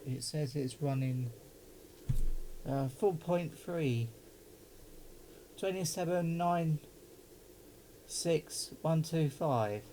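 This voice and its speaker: A man's voice: on the high side, drawn-out words, pauses often, warm tone, poor audio. A middle-aged man chatting casually and muttering to himself as he does a hands-on unboxing. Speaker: hobbyist presenter unboxing a CB radio. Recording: clear background noise.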